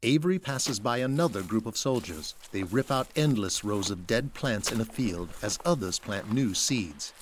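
Guy narrating an audio drama, lowish voice, scripted, faint noise. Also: animated, normal speed, few pauses.

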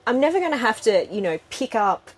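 A woman's voice: fairly high pitch, sing-song, brisk, breathy edge. A middle-aged woman with an Australian accent, telling her story as a podcast guest in a frank, chatty way with frequent laughs. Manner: frank, laughs often, many fillers. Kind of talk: casual interview chat.

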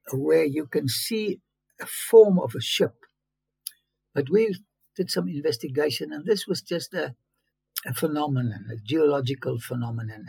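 A fairly high-voiced man, raspy and gravelly, recounting his own story in English with sudden emphatic bursts. His speech halts and restarts as he goes. The sound is dry and close, with no room around it. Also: a little mellow, no echo, low-quality recording. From South Africa. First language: Afrikaans. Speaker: interview guest.

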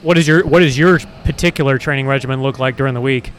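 A man's voice: mid-range voice, fast talker. A man casually asking interview questions in an informal, chatty way. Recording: clear steady background noise.

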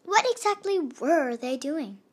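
A woman voicing a brisk TV news reporter with an announcer-style delivery. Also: high voice, animated, voice pushed up.

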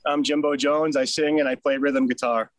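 A man with a Boston accent, a band's singer and rhythm guitarist, telling stories in a relaxed, chatty way during an interview. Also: medium pitch, moderate pace, no room sound. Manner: easygoing, chatty storyteller. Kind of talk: casual storytelling in an interview.

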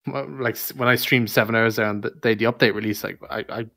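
A man's voice: on the low side, fairly even intonation, quick pace, gravelly, pushed and bright. A man co-hosting a casual podcast in a laid-back, chuckly way. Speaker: podcast co-host.

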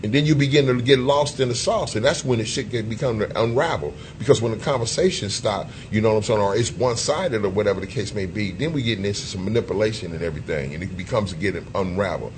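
A man co-hosting a podcast, talking loosely and profanely, with lots of "you know" fillers and sudden jumps in pitch. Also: fast talker, few pauses, noisy background. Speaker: co-host. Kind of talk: casual podcast discussion.

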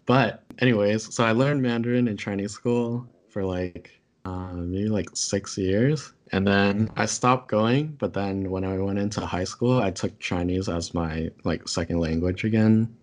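A young man co-hosting a podcast, talking casually with a slightly low voice. His first language is Taishanese, and he wanders through his points with fillers and restarts. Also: measured pace, hardly any pauses. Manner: relaxed, many fillers and self-corrections. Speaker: podcast co-host.

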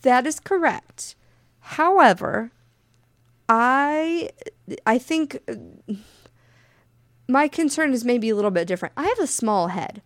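A woman co-hosting a casual podcast, talking very loudly and telling stories with lively, profane energy. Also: sits high, slow pace, long pauses, no room sound, clean studio sound. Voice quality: very loud voice. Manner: animated, profane storytelling. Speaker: podcast co-host.

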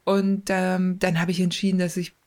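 A woman's voice: on the low side, normal speed. Chatty woman telling her story. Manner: relaxed and talkative, many fillers and self-corrections. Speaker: former rugby player, mental coach. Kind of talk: casual interview chat.

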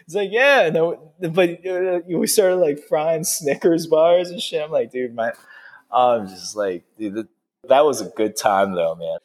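A man's voice: a little high, measured pace. A chef in his thirties chatting casually over drinks, rambling with lots of fillers and laughing often. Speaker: chef and restaurant owner. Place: in a restaurant.

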